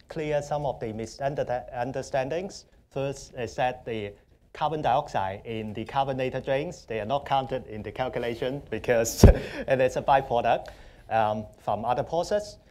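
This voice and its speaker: A young man from Hong Kong with a Hong Kong accent, speaking as a panelist in a conference hall, halting and full of fillers. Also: medium pitch, warm tone, slight background noise. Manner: halting, many fillers and restarts. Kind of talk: panel discussion.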